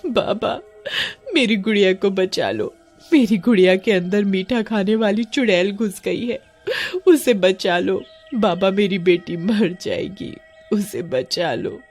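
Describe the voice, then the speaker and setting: A woman's voice: medium pitch, slow, a little mellow, faint music behind. A woman doing a crying, pleading mother. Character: desperate mother begging for her daughter.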